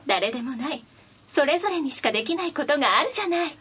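A woman's voice: high-pitched, expressive, fast, fairly bright tone. A woman playing a kindly, assured young female healer in an anime-style fantasy radio drama.

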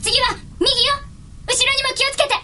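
Bossy young female fantasy agent, extremely high bright voice, anime radio-drama delivery. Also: steady tone, normal speed, heavily acted. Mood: urgent. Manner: bossy, exasperated, emphatic.

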